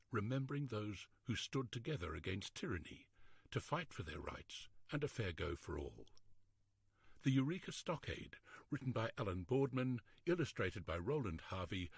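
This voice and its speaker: A man reading a history storybook aloud in a measured, expressive, scripted narration style. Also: on the low side, breathy edge, no room sound, clean studio sound.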